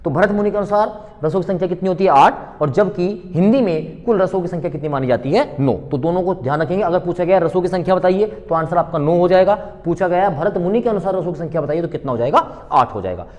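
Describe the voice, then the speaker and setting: Male exam-prep lecturer, slightly high voice, didactic question-and-answer delivery, recorded with long hall reverb. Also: quick pace, a little mellow. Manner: didactic, asks questions and answers them himself, repeats key points.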